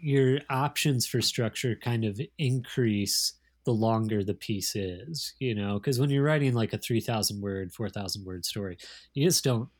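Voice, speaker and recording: A man with a fairly low voice speaks casually as an editor being interviewed. He is thoughtful and explanatory, feeling his way through each point in a halting manner. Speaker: magazine editor, interview guest.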